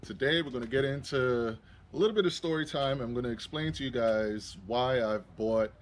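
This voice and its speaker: A man's voice: mid-range voice, moderate pace, a little hiss or hum. A young adult man from Trinidad and Tobago, telling a rambling personal story as a vlogger, full of fillers and restarts. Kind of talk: casual storytelling. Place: in a vehicle.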